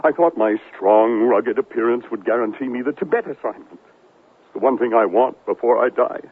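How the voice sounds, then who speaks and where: A man's voice: medium pitch, moderate pace, breathy edge, phone-quality sound, close to an everyday voice. A man acting a vain, rugged TV correspondent full of wounded self-pity and bluster in a 1970s radio drama. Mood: wounded, defensive. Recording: thin band-limited 1970s radio broadcast, faint noise.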